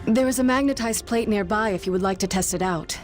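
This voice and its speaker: An actress playing an earnest chief's daughter of a frontier tribe in a video game, composed and stiffly formal. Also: female voice, medium pitch, fairly quick, faint music behind, close to an everyday voice. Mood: grateful, formal. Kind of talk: video game character dialogue.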